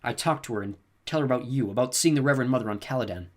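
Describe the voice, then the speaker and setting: A man's voice: mid-range voice, very fast, lightly acted. A man voicing a serious, guarded teenage boy who speaks earnestly in an audiobook reading.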